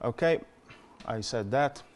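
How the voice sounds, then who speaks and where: A man's voice: mid-range voice, lively intonation, slow, a little hiss or hum. A man in his forties from Slovenia, speaking English with a Slovenian accent as a non-native speaker, giving a conference presentation with many fillers and repeated words.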